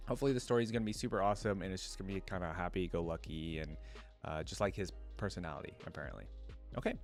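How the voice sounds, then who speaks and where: A man hosting a reaction video with casual commentary, informal and thinking aloud as he goes. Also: fairly low pitch.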